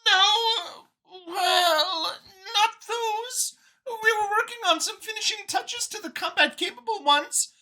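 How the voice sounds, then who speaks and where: A woman's voice: very high-pitched, slow pace, bright, forceful tone, voice pushed up, heavily acted, poor audio. A woman voicing a nervous, earnest female alien mouse scientist in an audiobook, with a flustered, eager way of talking. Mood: flustered, defensive. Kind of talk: acted audiobook character.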